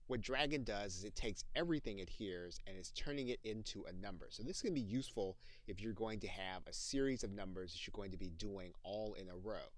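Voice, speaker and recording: A man giving methodical, explanatory instruction as the narrator of a software training screencast. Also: on the low side, fairly quick, few pauses, no room sound.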